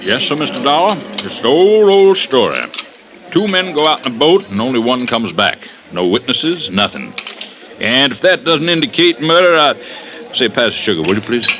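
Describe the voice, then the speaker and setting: An actor playing a brash, cocksure deputy district attorney in an old radio drama, arguing in a folksy, emphatic way. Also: male voice, medium pitch, big pitch swings, normal speed, background noise, lightly acted. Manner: folksy, emphatic, argues his case with relish. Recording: vintage radio drama recording.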